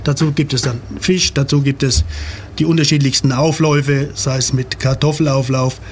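A man in an interview, explaining in a steady, informative way. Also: mid-range voice, normal speed, a little hiss or hum. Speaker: club member.

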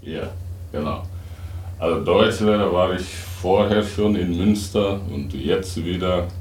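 Tiefe, langsame Männerstimme mit senegalesischem Akzent. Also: male voice, low voice, unhurried, darkish tone. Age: middle-aged. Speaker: interview guest, writer and German teacher. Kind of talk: casual, unscripted interview talk. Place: radio studio. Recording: roomy, distant mic, faint noise.

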